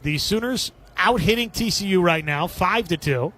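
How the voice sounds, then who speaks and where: A male baseball announcer with a notably high voice delivers live radio play-by-play. He describes the game in clipped, descriptive phrases, as a sports commentator does on air. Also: animated, normal speed, fairly bright tone.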